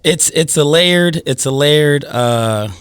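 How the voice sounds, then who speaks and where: A man's voice: fairly high pitch, slow pace, a bit bright, clean tone, no room sound, soft background music. A man who grew up in the United States, a guest DJ, explaining things at length in a laid-back podcast chat full of fillers. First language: Yoruba. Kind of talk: casual podcast conversation.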